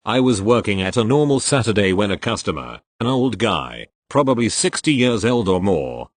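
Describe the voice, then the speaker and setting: Low-voiced man reading stories aloud. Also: no room sound. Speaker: narrator reading online posts. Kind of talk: scripted narration.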